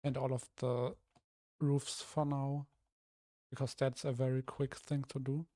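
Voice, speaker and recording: A young man from Germany talks through his building plans in a casual stream, his voice rough and a little hoarse. He thinks aloud, trailing off and restarting often, in a mellow, warm tone. The sound is dry and close, with no room around it. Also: medium pitch, little pitch movement, unhurried, dry recording. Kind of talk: casual live-stream commentary while building.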